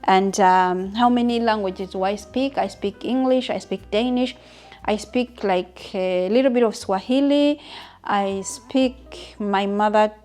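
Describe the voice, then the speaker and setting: A woman's voice: medium pitch, unhurried, darkish tone. A woman in her fifties from Uganda, chatting casually and openly with her viewers in a question-and-answer vlog, often stopping to rephrase. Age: 50s. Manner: chatty, frequent restarts and asides to viewers. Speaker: vlogger.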